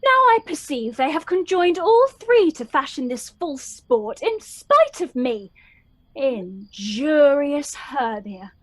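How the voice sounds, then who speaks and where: A woman acting a young heroine of a Shakespeare radio play, high-voiced and closely recorded with little room sound. She declaims verse with wounded indignation, reproachful as one betrayed by her friends. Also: big pitch swings, takes it slowly, no echo, full character voice, poor audio. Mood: indignant, accusing.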